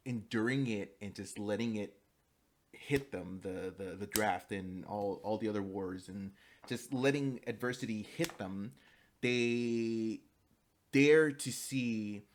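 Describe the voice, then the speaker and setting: A young man from the United States giving a spoken class presentation, halting, with many fillers and restarts, at times switching into fluent Spanish. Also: on the low side. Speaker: student.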